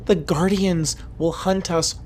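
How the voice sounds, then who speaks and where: A devoted fugitive played by a man in an audio drama, earnest and emotional. The voice is a little high and echoes, with faint noise underneath. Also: steady tone, slow, a little mellow. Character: pleading, devoted fugitive loved one in memory. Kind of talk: dramatic audio fiction acting.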